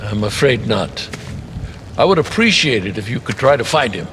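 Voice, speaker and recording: Stern, serene old martial arts master. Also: male voice, mid-range voice, big pitch swings, a bit bright, a little hiss or hum. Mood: stern, detached. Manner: formal, measured, dry. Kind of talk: video game cutscene voice acting.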